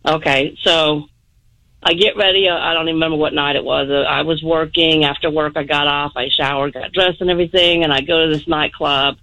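A woman's voice: low-pitched, fairly even intonation, a little fast, fairly bright tone, no room sound. A middle-aged woman phoning in to a radio show, telling a personal story in a rambling, earnest way. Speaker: radio call-in guest. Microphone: telephone. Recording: muffled phone call to radio.

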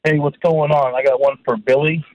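A man's voice: fairly high pitch, fairly even intonation, a little fast. A man calling a radio show and casually telling a story. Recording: phone call with faint noise, clicks.

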